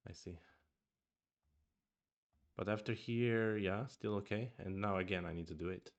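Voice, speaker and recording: A man's voice: lowish voice, a little slow, lo-fi sound. A man streaming a review of his own go games, thinking aloud in a casual chat with murmured asides and restarts; his first language is Swedish.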